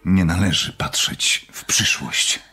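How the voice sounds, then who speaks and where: An actor playing a secretive, intense doctor in a radio drama, speaking in a measured, formal and persuasive way. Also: male voice, deep voice, bright, forceful tone, slightly rough, faint music behind, heavily acted. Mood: coaxing, hypnotic. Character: secretive, intense doctor studying occult visions.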